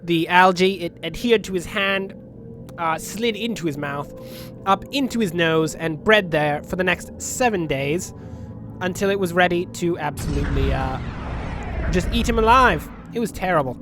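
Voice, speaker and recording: A man's voice: high-pitched, expressive, moderate pace. A man with a sniffly, runny nose, giving joking, rambling commentary as a let's play host and talking back to the game's characters. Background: game music and spaceship sounds.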